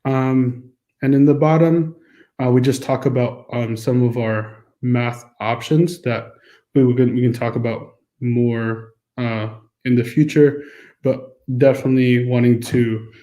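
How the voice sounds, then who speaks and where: A man's voice: medium pitch, fairly even intonation, unhurried, dark tone, reverberant, rough recording. A man on a school's staff, with an American accent, walking through a course registration form in a casual, explanatory way with many fillers. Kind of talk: screen-recorded walkthrough.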